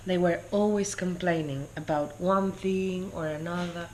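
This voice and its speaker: A woman reading a children's picture book aloud in a deliberate, expressive storytelling way. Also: fairly low pitch, slight background noise, rough recording. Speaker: story reader.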